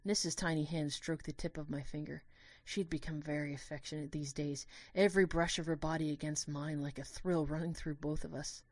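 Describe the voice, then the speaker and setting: A woman playing a lovestruck, guilt-ridden young student, earnestly narrating an audio drama in the first person with expressive storytelling. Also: low-pitched, fairly quick, hardly any pauses, dry recording. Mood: wistful, anguished.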